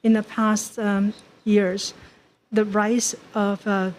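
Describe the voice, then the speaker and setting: A woman interpreting at a forum, speaking haltingly in short pieced-together phrases. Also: medium pitch, level tone, a little mellow.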